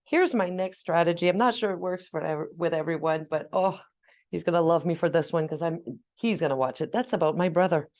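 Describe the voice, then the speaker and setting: A middle-aged woman with a slightly low voice talks casually and emphatically. She is an animated storyteller who slips into quoted dialogue, like a parent swapping tips with a co-host. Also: brisk. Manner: talkative, emphatic, self-described loud speaker. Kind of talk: casual conversational talk.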